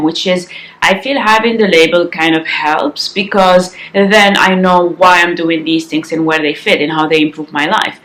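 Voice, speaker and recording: A woman in her thirties, slightly raspy, chatting casually to camera at home with many ums and restarts. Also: on the low side, a bit bright, roomy sound, poor audio. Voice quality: raspy in the morning. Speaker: vlogger. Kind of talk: casual vlog chat to camera. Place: sunlit living room at home, indoors. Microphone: compact camera on-board mic.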